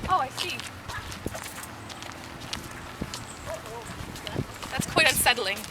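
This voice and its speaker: A woman's voice: sits high, expressive, quick pace. A woman playing an eager, polite, talkative guide in an outdoor historical dramatization, speaking in a theatrical, exclamatory way. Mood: curious. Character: eager, nervous, talkative woman guide searching for Laura Secord. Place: outdoors in a park. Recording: clear outdoor park noise.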